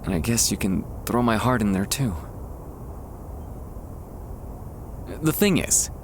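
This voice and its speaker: A man playing a shy, tender young man confessing love to a childhood friend, hesitant and soft-hearted, in an intimate ASMR roleplay. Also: fairly low pitch, slight background noise. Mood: sheepish, tender. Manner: soft-hearted, hesitant, halting with nervous chuckles. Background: quiet park ambience.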